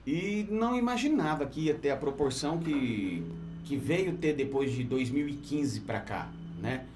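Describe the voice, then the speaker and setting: A man's voice: medium pitch, expressive, measured pace, noisy background. A Brazilian man speaking colloquially as a musician guest on a radio show, telling anecdotes in a casual, joking way.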